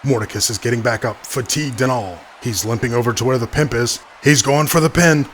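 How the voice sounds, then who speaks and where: A man's voice: mid-range voice, brisk, a bit bright, slight background noise, natural voice. A man playing an earnest wrestling commentator, giving scripted play-by-play in a cartoon show. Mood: intent. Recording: clear music over the voice.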